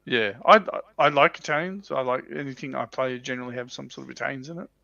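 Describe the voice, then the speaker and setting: Gravelly man musing, slightly muffled audio. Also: medium pitch, fast talker, pushed and bright, dry recording. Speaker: livestream co-host. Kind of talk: casual hobby discussion.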